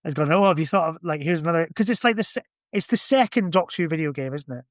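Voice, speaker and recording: A man's voice: a little high, fast talker, dry recording, studio quality. A man in casual podcast conversation, thinking aloud in a rambling way. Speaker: podcast guest or co-host. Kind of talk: casual chat.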